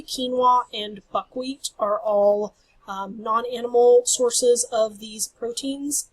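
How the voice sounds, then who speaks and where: A woman's voice: on the high side, poor audio. A woman instructor losing her voice, giving a recorded lecture over slides in an explanatory way. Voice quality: husky. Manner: explanatory, apologetic about her voice.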